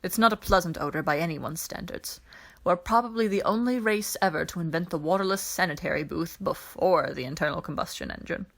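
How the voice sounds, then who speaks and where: A weary alien man as a woman reader plays him speaks in a measured, quiet way. He is wry and dryly teasing, like a practised storyteller in an audiobook. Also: on the low side. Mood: smirking. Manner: dry, measured, quiet explanations.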